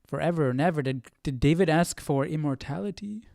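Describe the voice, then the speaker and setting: A man's voice: fairly high pitch, a little fast, vocal fry, a little mellow, no room sound, studio quality. A man hosting a casual Bible study stream, thinking aloud, asking questions and reading verses. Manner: questioning, musing. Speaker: Bible study livestream host.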